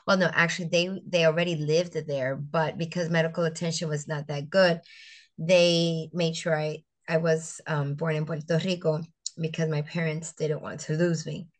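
A middle-aged woman from the Virgin Islands, a teacher and founder, talking through her story in a relaxed interview with pauses and restarts. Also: deep voice. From US Virgin Islands (St. Croix). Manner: reflective, storytelling, with frequent fillers and restarts. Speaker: guest, educator and business founder. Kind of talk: conversational interview.

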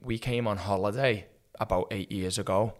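A man's voice: lowish voice, fairly even intonation, moderate pace. A man in his thirties from England with a Liverpool accent, chatting casually and rambling a little as a podcast guest. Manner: chatty, rambling, laughs often. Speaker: podcast guest, former student. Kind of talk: casual interview chat.

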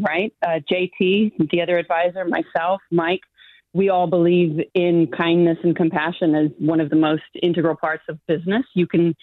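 A woman's voice: fairly low pitch, dry recording. A woman guest, not from New Zealand, speaking confidently in a remote interview and laughing often. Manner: animated, laughs often, self-assured. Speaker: guest, show judge. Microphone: phone or video call line. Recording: thin phone-like line.

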